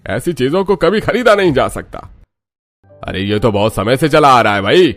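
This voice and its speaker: A man's voice: mid-range voice, very animated, moderate pace, fairly bright tone, soft background music. A man voicing hearty, plain-spoken older village men in a cartoon story, with emphatic delivery. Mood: indignant. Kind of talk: Hindi cartoon story dialogue.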